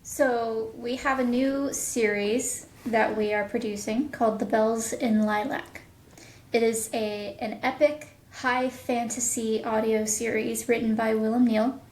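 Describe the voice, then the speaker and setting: A woman's voice: fairly high pitch, fairly even intonation, unhurried, darkish tone, echoey room, slight background noise. A woman talking casually as a guest in an interview about her creative work. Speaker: audio drama writer and producer, podcast guest.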